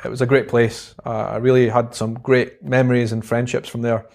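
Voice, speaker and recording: A young man with a Scottish Edinburgh accent, a guest in an interview, talking about his past in a relaxed, halting way with many pauses and restarts. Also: mid-range voice, vocal fry. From Scotland. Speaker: guest, vlogger. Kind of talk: casual interview chat.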